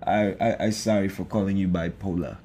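Man, low voice, casual podcast co-host, rambling, joking, filler-heavy. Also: steady tone, a little fast, mellow, husky. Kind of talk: casual chat.

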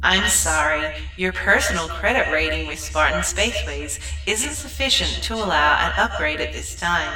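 Woman doing a female ship computer for a sci-fi audio drama, super courteous customer service script, lowish voice, bit of music behind. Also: expressive, normal speed, few pauses, pushed and bright, far from the mic, rough recording. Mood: apologetic, formal. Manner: scripted, polite corporate customer-service delivery.

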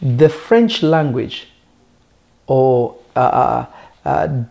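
A man who is a native Lingala speaker, preaching in a conversational teaching style with emphatic, deliberate phrasing and rhetorical questions. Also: mid-range voice, expressive, creaky, warm tone.

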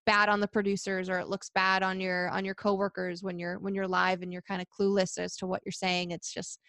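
Young woman, high-pitched voice, energetic and chatty, quick laughs, dry close sound. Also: dry recording. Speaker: sports reporter. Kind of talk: interview answers, casual.

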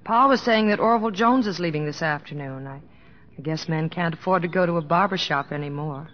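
A woman's voice: on the low side, brisk, slight background noise, natural voice. Tender American wife played by a woman, old radio. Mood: gentle, concerned. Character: devoted, gently concerned wife telling her husband's story. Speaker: actress narrating and acting in a radio drama. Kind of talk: old-time radio drama acting. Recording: muffled old radio recording with music.

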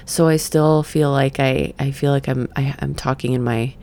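A middle-aged woman who just woke up, leading a gentle breathing exercise and then reflecting in a soft, meandering way. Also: rumbling low, fairly even intonation. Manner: soft, slow and meandering, with sighs and fillers. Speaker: podcast host and session coach. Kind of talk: guided breathing then casual reflective talk.

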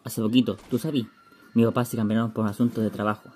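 A man's voice: on the low side, flat delivery, fast, warm tone, husky. A Chilean man playing a stubborn, menacing, slangy schoolboy in a radio play, speaking bluntly. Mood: defensive, boastful. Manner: colloquial, blunt.

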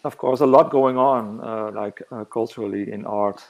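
Man, 40s, low gravelly delivery, slow, halting and reflective. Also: fairly even intonation. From northern Netherlands. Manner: halting, searching for words. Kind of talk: reflective interview answers.

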